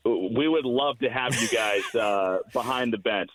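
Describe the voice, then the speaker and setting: A man's voice: mid-range voice, a little slow, fairly bright tone, no echo, poor audio. A man who works as a hockey radio announcer, chatting as an interview guest in an enthusiastic, self-deprecating, joking way. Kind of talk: casual radio interview chat.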